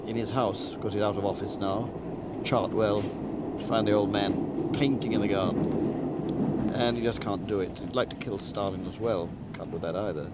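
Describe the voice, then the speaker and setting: A man giving a deliberate, emphatic and articulate spoken summary of a story, like a novelist outlining a book. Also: lowish voice, steady tone, heavy background noise. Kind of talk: spoken plot summary, literary talk.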